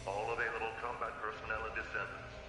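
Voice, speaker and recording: A man's voice: lowish voice, little pitch movement, fast talker. A man playing a formal, impersonal military announcer issuing orders over a public address system in a video game. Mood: urgent, official. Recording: distant echoing loudspeaker sound under loud music.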